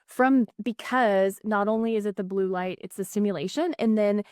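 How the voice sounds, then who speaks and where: A woman's voice: mid-range voice, moderate pace, darkish tone, dry recording, clean studio sound. A woman guest on a podcast, explaining with frequent filler words in a casual conversational way. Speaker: neuroscientist guest.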